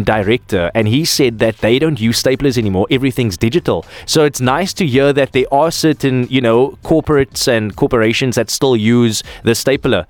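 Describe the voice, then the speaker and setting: A man's voice: mid-range voice, no echo. A man hosting a morning radio show, chatty and friendly with callers in a studio. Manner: friendly, chatty, lots of fillers. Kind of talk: upbeat radio call-in banter.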